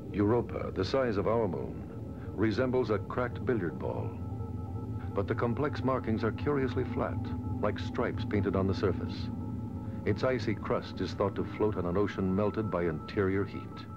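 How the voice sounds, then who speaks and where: A man reading scripted documentary narration in a measured, formal way, on a dated soundtrack. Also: on the low side. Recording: dull, dated lo-fi film soundtrack. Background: music.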